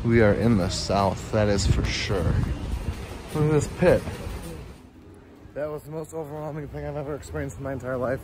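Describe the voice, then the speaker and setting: A young man vlogging casually into a phone held at arm's length in a parked car, chatting in an animated, rambling way. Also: medium pitch, normal speed, pauses often, noisy background. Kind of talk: casual vlog chat.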